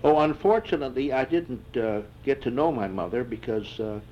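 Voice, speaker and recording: An older man in an oral history interview, answering reflectively and haltingly with many fillers and restarts. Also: medium pitch, lively intonation. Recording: dull lo-fi tape with faint hiss.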